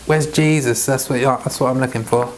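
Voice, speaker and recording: A man's voice: medium pitch, fairly even intonation, moderate pace, over music. A British man reading scripture aloud and explaining it informally, in a rambling, self-interrupting way. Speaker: Bible reader and teacher.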